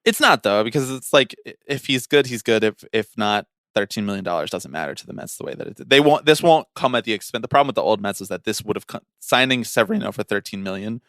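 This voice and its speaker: A man co-hosting a podcast, talking casually about sports in an animated, wry, conversational way. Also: on the low side, fast talker, hardly any pauses, dry recording, studio quality. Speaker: podcast co-host.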